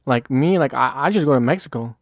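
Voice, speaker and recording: A man's voice: medium pitch, lively intonation, fast talker, no echo. A man talking bluntly and profanely in a heated casual discussion, repeating himself as he goes. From United States.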